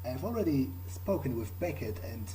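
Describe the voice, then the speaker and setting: A man improvising in character as he plays a game, speaking hesitantly and in a measured way with pauses. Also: mid-range voice, very animated, warm tone, a little hiss or hum, rough recording. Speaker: gamer playing in character. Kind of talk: improvised in-character role-play over a game.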